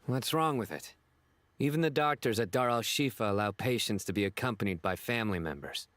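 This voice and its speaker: A man voicing a cool, analytical young man in a video game, measured and probing. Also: fairly low pitch, animated, a bit bright, dry recording. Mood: challenging.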